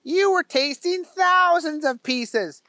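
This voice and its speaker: A man playing a shrill, scolding factory boss. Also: slow, no room sound, clean studio sound, exaggerated voice. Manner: high, sharp and scolding. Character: boss of a candy factory, stern and exasperated.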